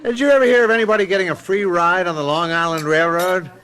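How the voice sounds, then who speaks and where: An actor playing a brash, irritable advertising copywriter in a radio drama, blunt and insistent. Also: male voice, high-pitched, fast, fairly bright tone. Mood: breezy, then puzzled.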